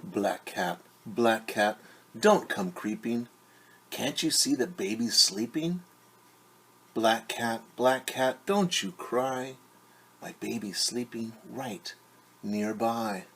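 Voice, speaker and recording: A man reading a picture book aloud to young children as a teacher, with sing-song refrains and hushed, whispered asides. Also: mid-range voice, slow pace, slightly rough.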